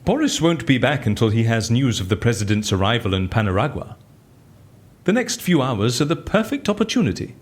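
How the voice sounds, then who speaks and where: An actor playing an excitable, inquisitive schemer in an audio drama, with a theatrical, incredulous manner. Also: male voice, medium pitch, brisk, close to an everyday voice. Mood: resolved. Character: excitable, inquisitive man scheming inside a prison.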